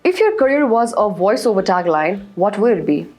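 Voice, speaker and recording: A woman hosting an interview, reading brisk, scripted questions. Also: mid-range voice, big pitch swings, a little mellow, soft background music. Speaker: host.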